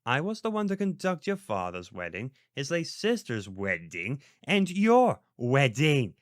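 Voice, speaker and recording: A man's voice: medium pitch, wildly swooping pitch, unhurried, no echo, clean studio sound. A man playing a pompous, indignant elderly priest in a theatrical audio roleplay, sardonic and histrionic. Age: older.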